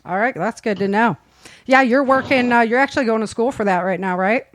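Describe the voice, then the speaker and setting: A woman with a fairly high voice hosts a live, casual chat, recorded close and dry. She is chatty and effusive as she brings guests in and hands over to them. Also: a little fast, dry recording. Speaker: host introducing performers.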